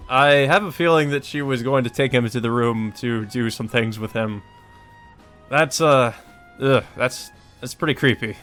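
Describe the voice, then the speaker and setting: A man's voice: medium pitch, moderate pace, fairly bright tone. Guy doing a lets play, reads the story out n swears casually, music behind him. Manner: profane, informal asides. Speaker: let's play host. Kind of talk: reading visual novel narration aloud, with casual commentary. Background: game music.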